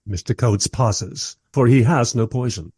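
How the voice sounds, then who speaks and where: A man's voice: medium pitch, measured pace, warm tone, husky, dry recording, studio quality. An actor playing a wry modern filmmaker who narrates his own story in a scripted radio play. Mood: amused, dry.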